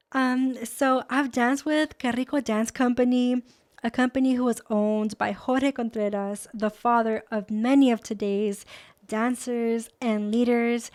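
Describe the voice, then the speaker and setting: A woman in her twenties from Los Angeles, hosting and introducing herself in a chatty, upbeat way with a few Spanish words mixed in. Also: a little high. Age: 20s. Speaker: host. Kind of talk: friendly scripted video introduction.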